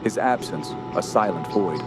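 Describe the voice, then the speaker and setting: A man's voice: low-pitched, animated, normal speed, loud music behind the voice. A man playing a somber storyteller, narrating a radio horror drama in a grave, literary manner.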